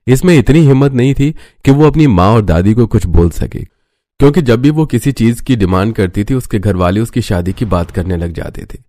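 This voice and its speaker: A man's voice: fairly low pitch, animated, normal speed, mellow, dry recording, studio quality. A man reading scripted narration as the narrator of a story.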